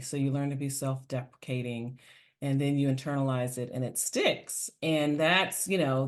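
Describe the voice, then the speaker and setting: A woman hosting a parenting podcast, reflecting aloud and sharing personal stories in a relaxed interview. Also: low voice. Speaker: podcast host. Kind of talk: casual interview chat.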